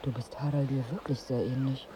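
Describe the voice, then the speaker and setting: Dry, mellow-voiced married woman. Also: rumbling low, steady tone, a little fast, slight background noise, low-quality recording. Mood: probing. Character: wry, exasperated married woman on holiday seeking pregnancy. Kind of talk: radio drama acting.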